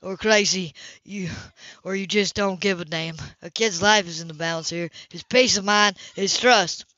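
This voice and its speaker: A woman's voice: fairly low pitch, normal speed, sharp tone, no echo. A woman reading an audiobook and voicing a hot-tempered, blunt, accusing brother. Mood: angry, accusing. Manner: blunt, confrontational. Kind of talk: audiobook character dialogue. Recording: dull lo-fi home recording.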